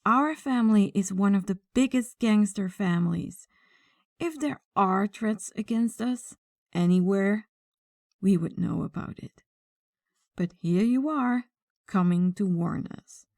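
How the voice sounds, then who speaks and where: A woman playing a commanding, mocking mafia boss in an ASMR audio drama, speaking deliberately with condescension and quiet menace. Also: medium pitch, creaky, dry recording, clean studio sound. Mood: scornful.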